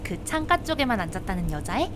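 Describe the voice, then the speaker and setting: A woman acting a teasing, bossy young investigator in a visual novel, expressive and quick to scold, with rain and insects behind her. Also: mid-range voice, noisy background, close to an everyday voice. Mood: teasing, nosy.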